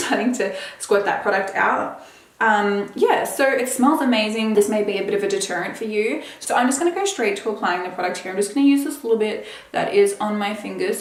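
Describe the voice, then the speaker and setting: A woman's voice: medium pitch, roomy sound. A young adult woman casually reviewing a skincare product, chatty, with plenty of fillers. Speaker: beauty vlogger.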